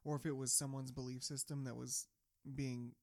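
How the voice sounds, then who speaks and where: A podcast host in loose conversation, blunt and teasing, dropping curse words freely and breaking into laughter often. The voice sits at an ordinary middle pitch. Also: level tone, moderate pace, creaky, darkish tone, no echo, studio quality. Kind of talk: casual podcast chat.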